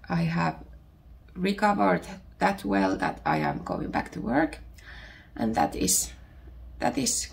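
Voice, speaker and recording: A woman in her thirties from Finland, with a Finnish accent, chatting casually as a podcast host while her voice recovers from illness, pausing to search for words. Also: mid-range voice, gravelly. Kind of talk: casual chat. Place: home.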